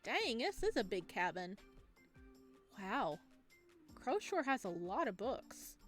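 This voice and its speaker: A woman with a fairly high voice hosts a playful let's play. She reads story text aloud and chats between lines, giggly and expressive. Music plays softly behind her. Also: takes it slowly. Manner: giggly, chatty, expressive reactions. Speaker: gaming video host reading a visual novel aloud. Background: game music.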